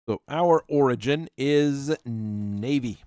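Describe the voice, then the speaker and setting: A man hosting a channel, working through tabletop character creation while thinking aloud and reading rules out, with halting pauses. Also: medium pitch, dark tone, clear, resonant tone, no room sound, studio quality. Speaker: channel host.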